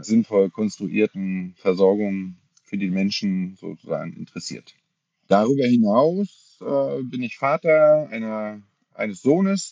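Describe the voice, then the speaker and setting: A man in his forties with a fairly low voice talks as a podcast guest. He speaks in a measured way, often correcting himself mid-sentence and using fillers. The sound is dry and close. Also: darkish tone, no echo, lo-fi sound. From Germany. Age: 40s. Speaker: dentist guest. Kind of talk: podcast interview.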